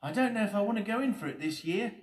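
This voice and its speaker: A man's voice: high voice, expressive, fast, echoey room, voice pushed up. A man voicing an earnest, anxious but plucky lorry in a children's story.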